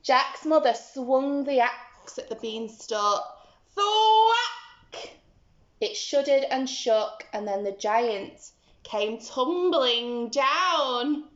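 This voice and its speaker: A woman's voice: high-pitched, sing-song, very slow, roomy sound, lo-fi sound. A woman teacher reading a story to young children, expressively and with dramatic emphasis.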